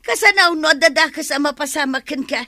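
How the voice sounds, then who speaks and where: A woman's voice: high-pitched, fairly quick, pushed and bright, no echo. A woman with an Ilocano accent playing a mocking, doubtful wife who teases and scolds in a radio comedy drama.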